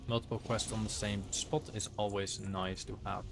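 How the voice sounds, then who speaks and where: A man's voice: lowish voice, level tone, moderate pace, vocal fry, over music. A man with a Dutch accent, a native Dutch speaker, giving casual livestream commentary as he plays a game. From Netherlands. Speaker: game streamer.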